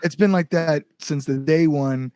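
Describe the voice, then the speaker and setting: A man's voice: a little high, unhurried, darkish tone, dry recording. A man with an American Midwestern accent speaking casually as an interview guest, laid-back and joking. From Illinois, US. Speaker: guest, band singer.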